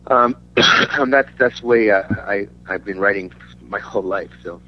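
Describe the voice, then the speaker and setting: A man from Denmark giving a casual interview as a musician, talking in a halting, rambling way. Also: fairly low pitch. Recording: dull lo-fi audio with faint hum.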